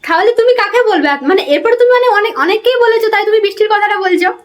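Very high-pitched, fast-talking young woman, accusing. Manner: accusing, insistent, repeatedly seeking agreement. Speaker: prank caller. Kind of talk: confrontational phone conversation.

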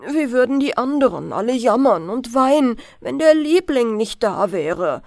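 A woman's voice: on the high side, sing-song, moderate pace, gravelly, a little mellow, dry recording, studio quality. A woman voicing a tender, stubborn, easily flustered woodcutter father in a fairy-tale audiobook, protesting emphatically. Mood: pleading protest.

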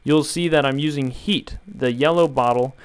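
A young man calmly walking viewers through a build, step by step, in an instructional voice-over. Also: medium pitch, moderate pace, clear, resonant tone. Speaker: DIY tutorial maker.

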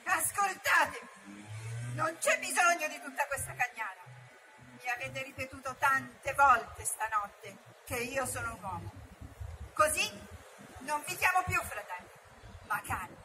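A woman's voice: high-pitched, bright, forceful tone, heavily acted. A woman voicing a proud, hurt young man raised by wolves in a read-aloud story, speaking with impassioned defiance. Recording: distant mic with noisy background.

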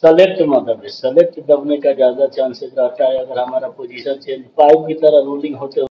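A man's voice: mid-range voice, steady tone, fairly quick, slight background noise. Man explaining welding, lo-fi sound. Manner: repeats "dekhiye" and "doston" often, explanatory. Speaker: welding channel host. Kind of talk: explaining welding over filmed work.

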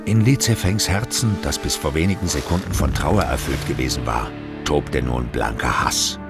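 Very deep, dramatic narrator. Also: male voice, moderate pace. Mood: grave, dramatic. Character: grave male narrator of a horror tale. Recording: loud music under the voice. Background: loud music.

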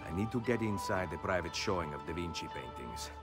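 An actor playing a confident, roguish Italian adventurer in a video game, with an Italian accent. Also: male voice, deep voice, lively intonation, brisk. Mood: smooth, confident. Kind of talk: video game cutscene acting. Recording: music behind the dialogue.

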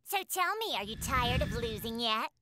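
A woman's voice: on the high side, animated, normal speed, bright, forceful tone, slight background noise. A woman voicing a bratty, competitive teenage devil girl who taunts and sneers. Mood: taunting. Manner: taunting, sarcastic; shrieks when scared.

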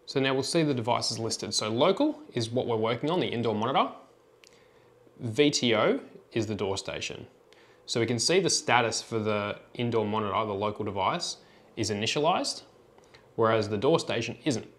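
A man's voice: medium pitch, expressive, moderate pace. A man presenting an informal tutorial, talking through a product demonstration step by step in a plain, instructional way.

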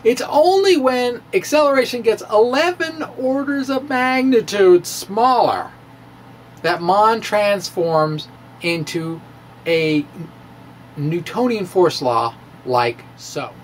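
A middle-aged man delivers a science script like a dramatic reading. He takes his time, leaning hard on words and swinging his pitch widely, with asides thrown in. Faint noise sits behind his voice. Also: expressive, poor audio. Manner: theatrical, emphatic, with drawn-out words and asides. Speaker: science video blogger. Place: small room with bookshelves, indoors. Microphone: microphone on a stand, close in front.

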